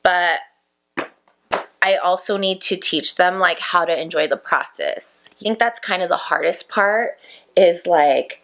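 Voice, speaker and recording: Low, gravelly-voiced woman chatting. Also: measured pace, a bit bright. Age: 30s. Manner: chatty, reflective, full of "like" fillers. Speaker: vlogger and makeup business owner. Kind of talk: casual chat to camera while doing makeup.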